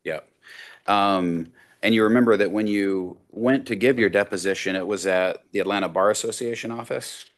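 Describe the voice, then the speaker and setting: Brief: very low-voiced male lawyer, methodical cross-examination on a video call. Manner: measured, methodical questioning. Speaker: attorney.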